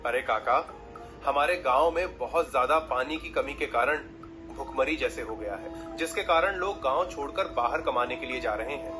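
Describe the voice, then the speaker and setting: A man's voice: mid-range voice, moderate pace, natural voice. Humble young villager, acted by a man, music behind. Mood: earnest. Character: humble, earnest young village husband seeking work.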